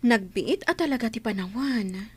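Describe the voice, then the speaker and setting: A woman with a Filipino accent playing a grateful, sweet young working student in a radio drama, with expressive delivery. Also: fairly high pitch, moderate pace, husky, dry recording. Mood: happy, grateful. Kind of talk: Ilocano radio drama acting.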